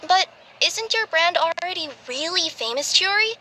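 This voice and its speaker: A woman's voice: very high voice, lively intonation, moderate pace, bright, forceful tone, slight background noise, exaggerated voice. An actress playing a cheerful, bashful young woman courier in a video game, earnest and a little flustered.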